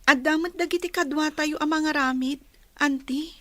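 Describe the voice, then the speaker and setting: A woman's voice: sits high, steady tone, normal speed, sharp tone, dry recording, clean studio sound. A woman playing a young farm girl in a radio drama, pleading and whiny, with a Filipino accent. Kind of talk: Ilocano radio drama acting.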